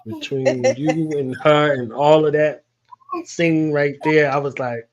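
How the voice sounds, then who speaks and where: A man's voice: fairly high pitch, steady tone, slow pace. A man hosting a casual interview, talking enthusiastically and emphatically, often repeating his phrases. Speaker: radio show host. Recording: slightly dull lo-fi audio.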